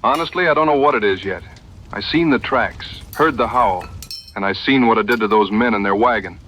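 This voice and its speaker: An actor playing a steady, plain-spoken frontier hunter in an audio western, with measured folksy phrasing. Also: male voice, fairly low pitch, slight background noise, close to an everyday voice, rough recording. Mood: resolute. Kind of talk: dramatized audio western dialogue.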